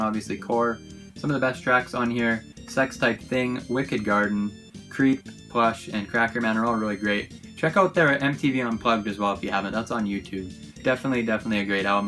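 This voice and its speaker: Guy doing a casual youtube show-and-tell, talks fast, keen and informal, guitar music behind him. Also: mid-range voice, a bit bright. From Canada. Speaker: YouTube host.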